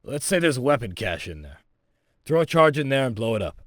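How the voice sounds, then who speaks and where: Military instructor for a game tutorial male actor: gruff, conversational, wry, mid-pitched, close dry sound. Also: very animated, fairly quick, dry recording, lightly acted. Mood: casual, wry. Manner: conversational, wry, salty-mouthed. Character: gruff, casual military training instructor, adult man. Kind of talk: video game tutorial dialogue.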